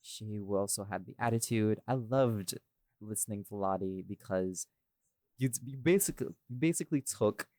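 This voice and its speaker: A man's voice: fairly low pitch, normal speed, darkish tone, dry recording. A man co-hosting a casual, chatty podcast discussion, speaking emphatically and repeating phrases for stress. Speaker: audio series creator and podcast co-host.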